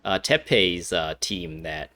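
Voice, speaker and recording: A man's voice: deep voice, lively intonation, takes it slowly, sharp tone. A man giving casual, rambling commentary over a video game, with many fillers and frequent laughs. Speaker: gameplay streamer.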